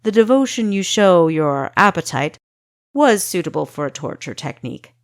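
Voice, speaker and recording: A woman's voice: lowish voice, very animated, normal speed. A woman voicing a matter-of-fact male spy guide in an audiobook.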